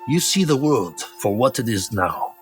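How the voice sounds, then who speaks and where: An actor playing a grave, gentle middle-aged father and martial mentor in a video game, measured and instructive. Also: medium pitch, big pitch swings, soft background music, close to an everyday voice. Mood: calm, instructive. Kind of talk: dramatic video game cutscene acting.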